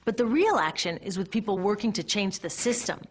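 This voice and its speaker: Expressive American woman narrating an explainer. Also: medium pitch, brisk. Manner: conversational, wry, asks rhetorical questions. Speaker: narrator and presenter. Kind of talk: scripted explainer narration in a conversational tone.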